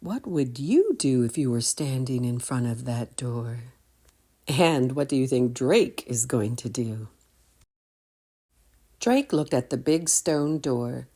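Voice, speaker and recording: A woman reading a children's story aloud in an expressive, deliberate way, as a storytime reader who addresses young listeners. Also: extremely low pitch.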